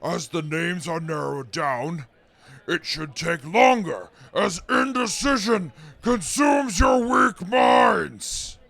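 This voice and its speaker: A man voicing a haughty non-human field reporter in an audio drama, formal and condescending toward humans, with a gravelly voice. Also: on the high side, sing-song, bright, forceful tone, shouting, exaggerated voice. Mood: scornful. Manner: formal, pompous, condescending, deliberate. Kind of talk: mock news report in post-apocalyptic audio drama.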